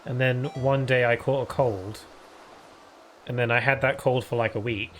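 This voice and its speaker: A man's voice: mid-range voice, fairly even intonation, moderate pace, a bit bright, slight background noise. A man streaming a game live, chatting loosely to viewers with lots of fillers and restarts, his voice what he calls a permanent cold voice. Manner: answers viewer chat aloud. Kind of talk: casual live-stream chat while playing.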